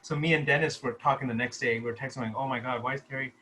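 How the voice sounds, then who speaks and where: A man's voice: mid-range voice, fairly even intonation, rapid-fire, sharp tone, a little hiss or hum, low-quality recording. A man talking casually as a writer explaining where a script came from. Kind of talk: casual chat.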